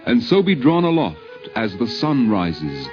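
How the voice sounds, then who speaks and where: A man's voice: mid-range voice, very animated, measured pace, darkish tone. A man playing a boastful, romantic poet-adventurer in a theatrical 1950s radio recitation. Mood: boastful, confiding. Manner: theatrical, grandiloquent. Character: boastful romantic male poet-adventurer telling of moon voyages. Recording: dull vintage radio recording, music behind.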